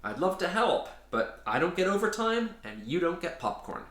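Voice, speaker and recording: A man's voice: sits high, big pitch swings, moderate pace, a bit bright, pitched above the performer's own voice. A man voicing an unhelpful, drawling lunch lady working a snack bar in a storybook. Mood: dismissive. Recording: roomy echo.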